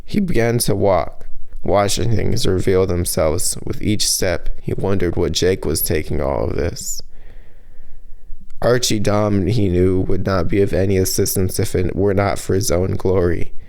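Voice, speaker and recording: Slow, low-voiced man narrating fantasy. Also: creaky, darkish tone, rough recording. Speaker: author reading own audiobook. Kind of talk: scripted fantasy audiobook narration.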